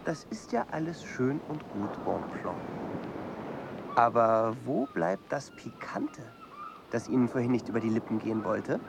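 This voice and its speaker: An actor playing a nosy, impatient questioner in a radio play, needling and creaky. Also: male voice, medium pitch, wildly swooping pitch, unhurried, noisy background, heavily acted. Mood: prying, impatient. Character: nosy, impatient male listener prying for juicy details. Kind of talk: German radio play acting.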